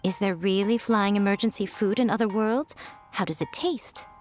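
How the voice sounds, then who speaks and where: A woman voicing a sly, elegant fox spirit who mentors with smooth, unhurried teasing. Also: mid-range voice, darkish tone, soft background music, close to an everyday voice. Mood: instructive. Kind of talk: video game cutscene voice acting.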